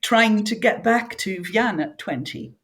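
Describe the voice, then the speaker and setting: A woman's voice: mid-range voice, unhurried, fairly bright tone. A middle-aged woman from northern England, brought up speaking French, talks through her memories in a reflective, vivid way. She lingers on details and drops in small fillers now and then. Her tone stays conversational, the way a guest talks in a sit-down chat. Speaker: author guest. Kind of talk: conversational interview.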